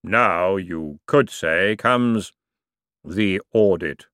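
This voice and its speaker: A man voicing Death as a solemn, formal, patient spirit, with slow measured speech in an audiobook. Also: low voice, fairly bright tone, no room sound, clean studio sound, exaggerated voice. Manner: slow, measured, grave delivery.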